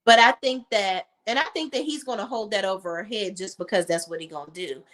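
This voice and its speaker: A woman's voice: brisk, a bit bright. Chatty woman with a drawl. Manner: chatty, acts out quotes in a lowered voice, colloquial. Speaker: co-host of a reality TV opinion panel. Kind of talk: casual chat.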